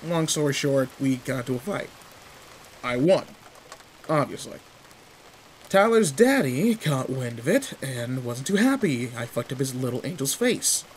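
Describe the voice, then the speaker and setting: A man's voice: medium pitch, a little hiss or hum, close to an everyday voice, rough recording. A man playing a tall, protective, secretly sweet teenage delinquent in an intimate roleplay audio drama, talking in casual, teasing slang. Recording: steady rain ambience behind voice. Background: rain in a forest.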